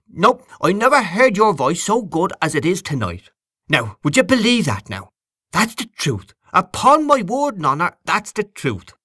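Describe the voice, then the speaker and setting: A man reading an audiobook, voicing an excitable, sincere man who gushes praise in emphatic, halting repetitions. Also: high-pitched, no room sound, studio quality, pitched above the performer's own voice, exaggerated voice. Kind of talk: acted audiobook dialogue.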